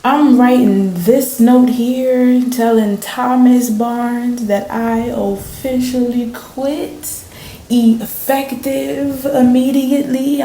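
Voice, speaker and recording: A woman playing a fed-up, laid-back waiter with an artistic streak in a radio play, speaking in a drawn-out, colloquial way. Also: on the high side, warm tone. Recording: roomy, echoey, faint noise.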